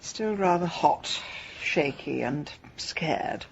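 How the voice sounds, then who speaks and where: A sharp-tongued amateur sleuth in a radio drama. Her English voice is low, with a creaky edge and dry, blunt delivery. The sound is a little dull. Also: lively intonation, takes it slowly, a little hiss or hum, heavily acted. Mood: weak, shaken.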